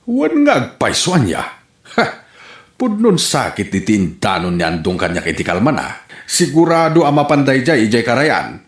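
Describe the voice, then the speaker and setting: A man's voice: medium pitch, wildly swooping pitch, moderate pace, roomy sound. A man with an Ilocano accent playing an indignant, scolding village man in a radio comedy drama. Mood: annoyed suspicion.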